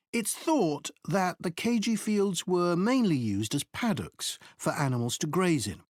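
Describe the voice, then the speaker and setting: A man giving a scripted academic presentation in a measured, explanatory way. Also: fairly high pitch, dry recording, studio quality. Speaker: presenter in a recorded exam listening test.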